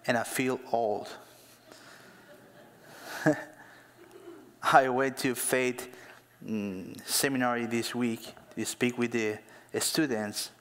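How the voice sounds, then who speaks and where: A man in his thirties preaching a sermon as a pastor, speaking as an in a slow, emphatic way that builds to louder calls to action. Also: medium pitch, clear, resonant tone. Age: 30s. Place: church hall. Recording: long hall reverb.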